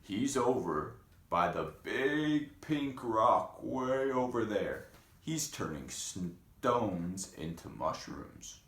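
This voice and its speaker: A man's voice: on the low side, very animated, very slow, fairly bright tone, echoey room, full character voice. A man voicing helpful frogs giving directions.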